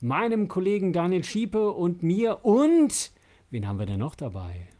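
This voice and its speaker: A man's voice: high voice, sing-song, a little slow, mellow. A man hosting a podcast, welcoming and questioning a guest in casual conversation. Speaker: podcast co-host. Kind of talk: casual chat, interviewing.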